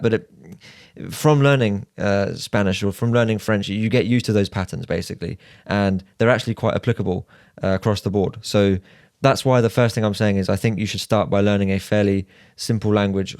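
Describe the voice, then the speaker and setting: A man with a fairly low voice talks through his advice in clear, numbered points, like a co-host steering a casual podcast chat. The sound is dry and close, with no room echo. He keeps things orderly and practical. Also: steady tone, fairly quick, a little mellow. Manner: structured, advising, lays out numbered points.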